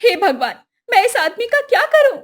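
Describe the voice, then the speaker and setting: Woman acting as poor village wife, very high voice, plaintive, scolding, fed-up, cartoon storytelling. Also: big pitch swings, moderate pace. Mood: scolding, exasperated.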